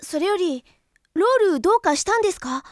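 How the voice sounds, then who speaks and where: A woman playing an earnest, gentle heroic robot boy in an anime audio drama, speaking politely and reassuringly. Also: very high voice, lively intonation, fairly quick, no room sound, studio quality. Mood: concerned, gentle. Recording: music behind the voice.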